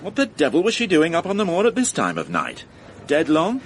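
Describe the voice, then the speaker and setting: Sarcastic middle-aged inspector, wry, dry, bouncy intonation, radio play. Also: male voice, on the high side, wildly swooping pitch, brisk, slight background noise. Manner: wry, clipped, lightly sarcastic. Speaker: police inspector. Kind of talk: radio drama acting.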